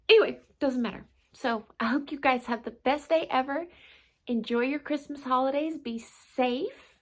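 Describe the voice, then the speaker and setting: A woman hosting a read-aloud video, with an expressive, informal and playful way of talking. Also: high-pitched. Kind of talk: expressive children's storybook narration and casual chat to viewers.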